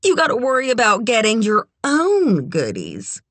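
A brassy, bawdy woman of the world, as an audiobook narrator puts her on, pitched high and close in a dry recording. She sweet-talks with sugary endearments and speaks frankly, teasing and amused. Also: wildly swooping pitch, moderate pace, fairly bright tone, dry recording, full character voice. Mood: bawdy, coaxing. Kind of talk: audiobook character dialogue.

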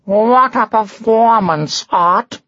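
High, slow, sardonic purring cat. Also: a bit bright, no room sound, lo-fi sound. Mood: mocking. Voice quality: purring, mewing. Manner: sarcastic, drawn-out.

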